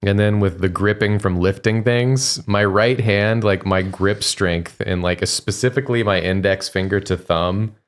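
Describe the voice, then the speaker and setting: A man's voice: fairly low pitch, a little slow, few pauses. A tired man co-hosting a gaming stream, chatting casually and joking with lots of restarts.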